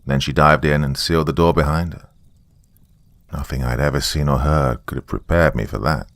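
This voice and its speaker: A man's voice: rumbling low, fairly even intonation, normal speed, fairly bright tone, dry recording. A man giving a dramatic first-person reading of a scripted story. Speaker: horror story narrator.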